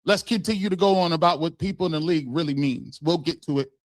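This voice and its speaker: A man with a notably high voice debates in a heated back-and-forth, firing off pointed questions one after another. His voice sounds close and dry, with no room around it. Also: no echo. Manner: argumentative, fires rapid pointed questions. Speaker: co-host.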